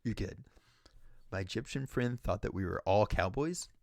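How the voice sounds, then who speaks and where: A man's voice: on the low side, moderate pace, gravelly, no echo, clean studio sound. A man from Tennessee hosting a livestream podcast, chatting casually with lots of laughs and backchannel fillers. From Tennessee, southern United States. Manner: animated and laughing, with many fillers. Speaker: podcast host.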